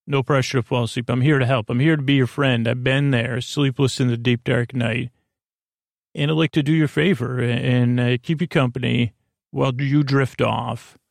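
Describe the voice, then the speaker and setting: A man with a creaky, gravelly voice hosts a sleep podcast, gently and reassuringly rambling in a meandering, halting monologue that trails off mid-thought. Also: mid-range voice, steady tone, dry recording, studio quality. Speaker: podcast host.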